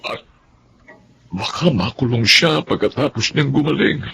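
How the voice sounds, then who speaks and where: An actor playing a remorseful older male employer in a radio drama, apologetic and measured. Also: medium pitch, expressive, creaky, fairly bright tone, a little hiss or hum.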